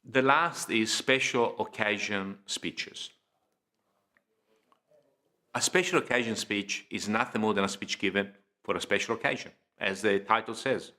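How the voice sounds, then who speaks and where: Guy doing an instructional video, bright crisp-edged voice, deliberate phrasing w pauses, stresses the key words. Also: fairly low pitch. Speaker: presenter of public speaking training video. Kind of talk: informative instructional lecture, scripted delivery.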